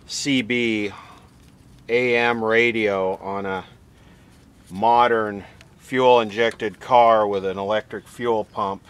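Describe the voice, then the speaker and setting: A man speaks in a lowish voice at a very unhurried pace. His commentary is casual and unscripted, like a vlogger showing equipment hands-on. He rambles a bit, working things out aloud as he goes. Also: smooth. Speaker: vlogger demonstrating vintage radio equipment.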